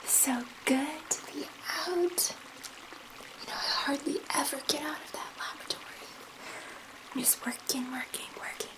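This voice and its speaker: A woman voicing a gentle, curious alien scientist in a soft, breathy ASMR roleplay whisper, murmuring reassuringly to one listener. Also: sits high, low-quality recording. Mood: dreamy wonder.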